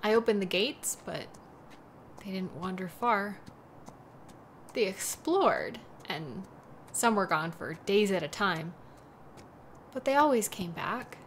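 A woman performs a devoted young woman in an audio roleplay drama. She talks slowly and haltingly, at a middle pitch, with a wistful, protective tenderness. Faint ambience sits behind the voice. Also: lively intonation, slight background noise. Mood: tender, gentle. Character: devoted, worried young woman caring for a sleeping kitsune.

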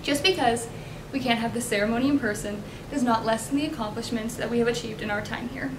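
A young woman with an American accent reads a graduation speech in a fairly high voice. The room echoes around her, with clear rumbling noise behind. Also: steady tone, quick pace. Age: young adult. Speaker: student graduation speaker. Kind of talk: scripted commencement speech. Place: indoor multipurpose room at podium.

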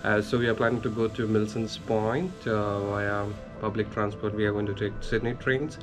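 A man with a fairly low voice narrates a casual travel vlog, laying out the plans one step at a time in an easygoing way. Music runs clearly under his voice, and his delivery stays relaxed and conversational. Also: moderate pace. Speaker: vlog co-host. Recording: loud background music under voice.

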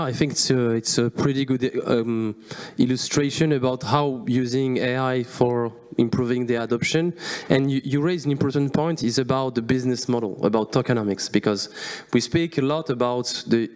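A man's voice: mid-range voice, a little mellow. A man moderating a conference panel, affably linking points and handing questions on, into a handheld mic. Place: conference stage.

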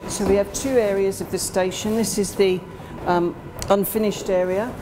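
A middle-aged woman giving an informal guided tour, explaining as she walks, with slightly halting phrasing. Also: mid-range voice, fairly even intonation, background noise. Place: indoors in disused underground station, tunnels and train carriage.

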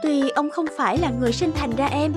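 A woman voicing a high, tearful young woman. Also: fairly quick, lightly acted. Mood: sad. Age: young adult. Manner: soft and tearful. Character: grieving orphaned young woman. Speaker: voice actor. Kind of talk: animated drama dubbing. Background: background music.

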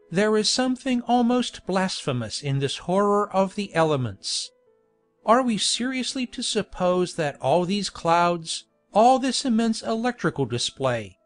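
A woman reading an audiobook, voicing a pompous, condescending male chaplain who lectures in a measured way. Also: mid-range voice. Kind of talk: audiobook character voice.

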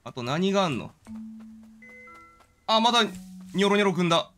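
A man's voice: high voice, normal speed, soft background music. A man doing casual game commentary as a streamer, exclaiming and talking to himself as he plays. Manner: animated, exclamatory, talks to himself.

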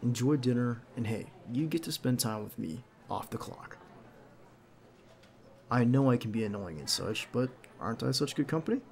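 A man playing a nervous, devoted bodyguard on a date in an intimate ASMR roleplay, speaking softly and hesitantly with some gentle teasing. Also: mid-range voice, animated, moderate pace. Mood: relaxed, teasing. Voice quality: creaky. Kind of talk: ASMR roleplay acting, intimate one-sided dialogue.

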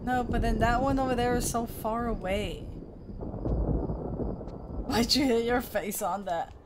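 A young woman streaming a game, talking to the character on screen and reading chat as she plays. Her voice sits a little high and she speaks slowly, with unhurried, drawn-out phrases. A steady hiss of background noise runs under her desk mic. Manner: chatty, talks to the game character and reads chat aloud. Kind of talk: live game commentary. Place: small room with colorful lights behind.

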